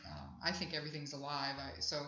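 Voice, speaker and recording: A woman in her sixties talks about her music in a rambling, reflective way. Her voice is bright and clear, and the live recording has an echoey, somewhat dull sound. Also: low voice, little pitch movement, fast talker. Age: 60s. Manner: chatty, warm and reflective, rambling. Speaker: singer-songwriter guest. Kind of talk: casual interview at an outdoor festival. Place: festival grounds.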